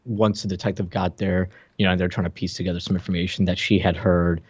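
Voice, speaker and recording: A man's voice: low voice, little pitch movement, fast, breathy edge, dry recording, muffled recording. A man co-hosting a podcast, explaining things informally and piece by piece in casual chat. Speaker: podcast co-host.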